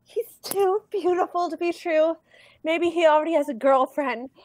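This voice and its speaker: A woman's voice: extremely high pitch, normal speed, darkish tone, no echo. A woman voicing a lovestruck, melodramatic teenage girl duck in a comic parody dub, with gushing, dramatic delivery. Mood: lovesick pining. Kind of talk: live comic dub reading.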